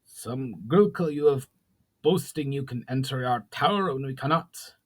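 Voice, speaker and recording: A man's voice: mid-range voice, lively intonation, measured pace, slightly rough, pitched above the performer's own voice. A man voicing a huge, suspicious goblin chieftain with a sneering, commanding, heavily accented manner in a storybook reading.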